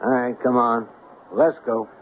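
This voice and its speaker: A man's voice: mid-range voice, fairly even intonation, measured pace, natural voice. Cool FBI man on tinny old radio , acted. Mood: cool authority. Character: cool, authoritative FBI agent. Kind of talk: 1970s radio drama acting. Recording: thin, noisy 1970s radio broadcast.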